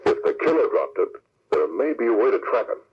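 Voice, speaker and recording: A man's voice: mid-range voice, animated, quick pace, lightly acted. A man playing a shrewd, confident masked crime-fighter in an old radio drama, explaining with authority. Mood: deliberating. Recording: noisy, muffled old radio recording.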